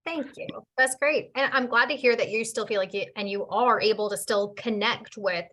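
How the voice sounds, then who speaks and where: Bright, upbeat woman moderating a webinar. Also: a little high, moderate pace. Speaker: webinar moderator from student affairs.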